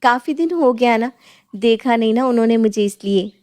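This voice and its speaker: Storybook young bride, acted by a woman: high-pitched, sweet, excitable, coaxing. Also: normal speed, lightly acted. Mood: hesitant. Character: eager, sweet young newlywed daughter-in-law.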